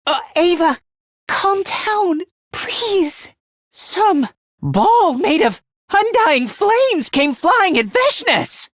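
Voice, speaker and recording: A man's voice: sing-song, extremely slow, fairly bright tone, no echo, clean studio sound, full character voice. A man playing an anxious, self-deprecating, frail teenage boy in a video game, with a boyish, hesitant and emotionally volatile delivery. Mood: anxious, startled. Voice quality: high, boyish, androgynous. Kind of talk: video game voice acting, dramatic.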